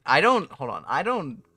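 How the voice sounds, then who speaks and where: A young man talks loosely on a home live stream, drifting between topics and laughing as he goes. His voice is bright and forward. The mic sounds close and dry, with almost no room sound. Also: a little high, sing-song, slow, husky, dry recording. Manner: rambling, laughs often, restarts phrases. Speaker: streamer and mod developer. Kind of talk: casual live-stream chat.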